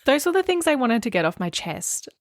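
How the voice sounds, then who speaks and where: A woman's voice: sits high, sing-song, a little fast, no room sound, studio quality. A young Australian woman co-hosting a casual comic podcast, making emphatic, mock-dramatic declarations. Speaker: podcast co-host.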